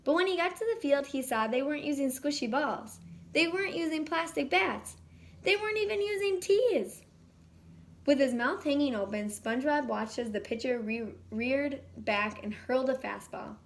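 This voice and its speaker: Female storyteller for a children's read-aloud, slightly high voice, expressive delivery. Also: normal speed. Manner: animated, acts out dialogue. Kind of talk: expressive picture-book narration.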